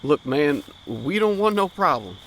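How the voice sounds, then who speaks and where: A man's voice: fairly high pitch, big pitch swings, a little slow, fairly bright tone, slight background noise, exaggerated voice, rough recording. An actor playing an easygoing, slangy teenage boy with folksy grammar in a period audio drama. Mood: anxious, placating. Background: crickets and night insects.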